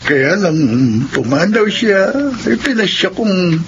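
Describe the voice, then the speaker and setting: An actor playing a kindly old widowed gravedigger in a Filipino radio drama, gentle, halting and chatty. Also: male voice, high voice, expressive, exaggerated voice. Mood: gentle, reminiscing. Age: older. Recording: dull radio recording, faint background noise.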